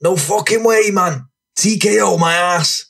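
Guy acting rowdy young lad boxer in an audiobook, high scratchy voice, indignant. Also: unhurried, gravelly, a bit bright, breathy edge, full character voice.

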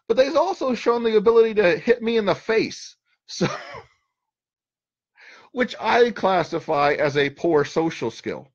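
A middle-aged man, a therapist, speaks unhurriedly into a webcam in a small dim room, trailing off with fillers and slipping in deadpan jokes about himself. The audio is dull and lo-fi, like a built-in laptop microphone. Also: fairly high pitch. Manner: slow, rambling, dry self-mocking humor. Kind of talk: unscripted talk to webcam. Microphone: built-in webcam mic.

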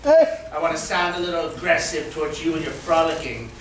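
A man's voice: a little high, brisk, fairly bright tone. A man improvising a comedy scene on a small stage, chatty and laughing, acting mock-perturbed. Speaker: improv performer. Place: small theater stage with audience. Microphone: far room mic. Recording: echoey room from far off, some noise.

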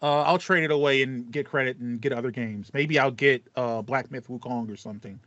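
A man's voice: mid-range voice, a little fast, fairly bright tone, no echo. An American man in his thirties chatting casually about games as a podcast co-host. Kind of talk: casual gaming chat. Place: small home room with acoustic foam. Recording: slightly dull, band-limited, dry sound.

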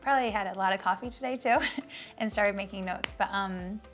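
Woman in her 30s, bit raspy this morning, chatty and giggly, soft music under. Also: mid-range voice, a little fast. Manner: chatty, giggly, self-mocking. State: slightly raspy from an early start. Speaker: fitness vlog co-host. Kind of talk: casual chat. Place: bright studio set, seated on a couch.

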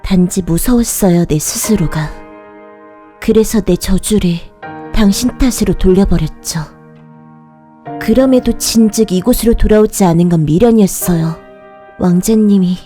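A remorseful, cursed young mother acted by a woman from a dark fairy-tale webtoon. Her voice is mellow and mid-pitched, with faint music underneath. She confesses softly and politely, full of sorrow and loneliness. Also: close to an everyday voice.

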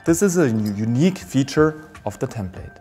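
A man in his thirties giving a scripted, formal, step-by-step instructional presentation of a product in a measured way. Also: mid-range voice, expressive, warm tone, soft background music. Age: 30s.

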